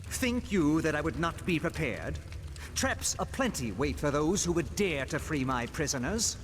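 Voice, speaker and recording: An actor playing a haughty, scheming trickster god in a superhero game, with theatrical, archaic phrasing. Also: male voice, a little high, sing-song, normal speed, a little hiss or hum. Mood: smug, gloating. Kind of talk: villain acting in a superhero game.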